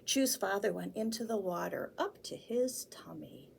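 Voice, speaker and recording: A woman's voice: mid-range voice, expressive, gravelly. Woman reading to children, faint background noise. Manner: simple, deliberate phrasing addressed to young children. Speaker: children's librarian, storytime host. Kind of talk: picture-book read-aloud for small children.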